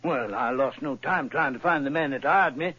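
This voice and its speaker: An actor playing a plain-spoken, earnest middle-aged shopkeeper in an old radio drama, with homely, rustic turns of phrase. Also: male voice, mid-range voice, moderate pace, sharp tone, slightly rough, no echo. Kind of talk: 1940s radio acting. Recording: muffled dry old radio recording.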